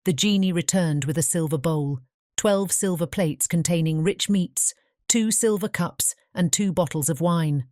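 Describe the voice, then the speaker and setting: A woman narrating a bedtime fairy tale as a measured, careful scripted storybook reading. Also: lowish voice, a little mellow, no room sound, studio quality.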